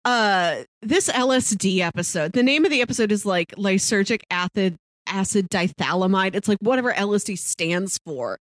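A woman's voice: mid-range voice, animated, normal speed, hardly any pauses, no room sound. A woman co-hosting a podcast in casual chat, talking with enthusiasm and strong opinions about what she watches. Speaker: podcast co-host discussing a TV series.

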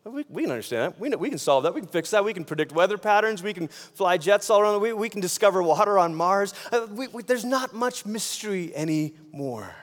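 A man's voice: sits high, lively intonation, fairly quick, few pauses. A man from Malaysia preaching a sermon in a conversational way, posing rhetorical questions and repeating phrases for emphasis. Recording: long hall reverb.

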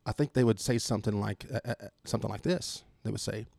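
A man's voice: lowish voice, fast, vocal fry, a little mellow, no room sound. A man with a Texan accent telling a jokey, rambling story in casual podcast chat from a home garage. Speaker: podcast host.

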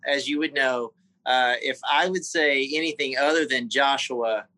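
A man with a Southern accent from South Carolina, telling his life story as an interview guest, often pausing and repeating words. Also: mid-range voice, pushed and bright, no room sound, rough recording. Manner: halting, repeats words while recalling memories. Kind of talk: casual interview conversation.

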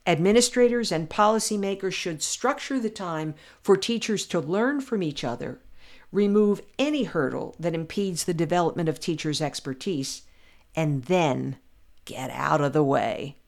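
A woman commentator reading her own essay aloud in a measured, deliberate way with emphatic pauses. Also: fairly low pitch, animated, gravelly, a little mellow. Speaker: podcast commentator.

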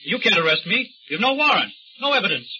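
An actor playing a nervous, indignant young murder suspect who talks defensively in a 1930s radio drama. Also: male voice, high-pitched, sing-song, fast talker, bright, forceful tone, husky, exaggerated voice. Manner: defensive, indignant, rising to panic. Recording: dull old radio recording.

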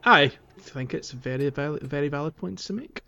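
A man co-hosting a casual gaming podcast, speaking in a halting way as he thinks aloud. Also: on the high side, lively intonation, vocal fry, pushed and bright. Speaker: podcast co-host. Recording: dull, band-limited voice recording.

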